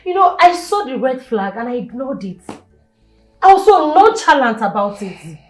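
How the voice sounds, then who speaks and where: A humiliated young princess in a film melodrama. Her voice is high and moves up and down widely, with petulant, emphatic repetition and a quick, vengeful temper. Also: sing-song, reverberant, soft background music, low-quality recording. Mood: regretful, frustrated. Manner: emphatic, repeats herself, petulant.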